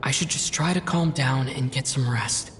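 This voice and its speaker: A man's voice: moderate pace, fairly bright tone, close to an everyday voice. An actor playing a tired, anxious man thinking to himself in a video game. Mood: weary, uneasy. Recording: long reverb, faint rumbling noise.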